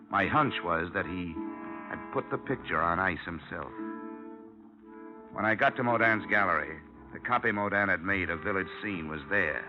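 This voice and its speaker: A man playing a wry, world-weary private investigator in a 1950s radio detective drama, with a dry, clipped delivery. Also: deep voice. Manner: dry, clipped, hard-boiled. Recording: muffled old radio recording, music behind.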